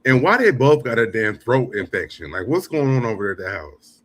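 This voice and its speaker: A man's voice: mid-range voice, sing-song, fairly quick, a bit bright, slight background noise. A man hosting a show, giving casual, blunt and profane live commentary between clips. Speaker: show host.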